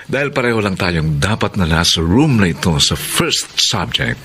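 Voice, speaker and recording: A flirtatious male professor in a Filipino radio drama. His voice is lowish and bright, swinging animatedly with playful confidence. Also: animated, moderate pace, a little hiss or hum, exaggerated voice. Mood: playful, confident. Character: Sir James, smooth playboy male professor.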